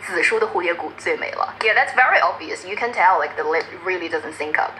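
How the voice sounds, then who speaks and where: A woman's voice: fairly low pitch, expressive, fast talker, bright, forceful tone, a little hiss or hum, rough recording. A woman giving informal explanatory commentary, playfully translating and glossing lines as she goes. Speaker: video essayist explaining lines.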